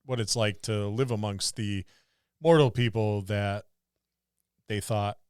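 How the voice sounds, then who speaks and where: Low, smooth-voiced man in casual discussion. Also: steady tone, measured pace, dry recording, studio quality. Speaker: podcast co-host. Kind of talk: casual tabletop gaming discussion.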